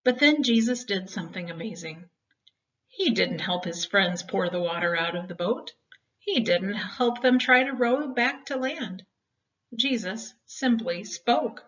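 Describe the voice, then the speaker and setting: A woman's voice: mid-range voice, expressive, unhurried, fairly bright tone. A woman reading a children's Bible story expressively, with dramatic, emphatic phrasing meant for young listeners. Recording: dull, distant home-style recording.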